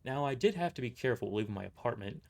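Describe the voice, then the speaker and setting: A man narrating a first-person story aloud, reading a little haltingly and stumbling over words. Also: medium pitch, expressive, gravelly, a little mellow.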